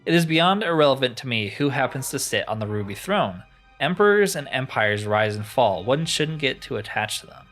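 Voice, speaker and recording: A haughty ancient enchanter living as a crystal, put on by a man reading a game aloud. He is pompous and theatrical, with a mid-pitched voice that moves up and down a lot. Music plays softly behind. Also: lively intonation, normal speed, natural voice. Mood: dismissive. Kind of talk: reading video game dialogue aloud in character.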